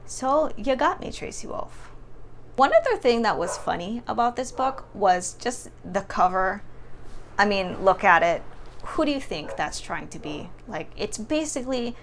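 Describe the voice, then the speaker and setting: A woman giving a casual, expressive book review to camera in an informal, emphatic storytelling way. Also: mid-range voice, unhurried, a little hiss or hum.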